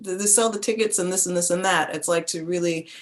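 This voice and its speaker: A woman's voice: fairly low pitch, steady tone, fast talker. A woman, an actor, talking casually and emphatically in a panel discussion. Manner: informal, emphatic, conversational.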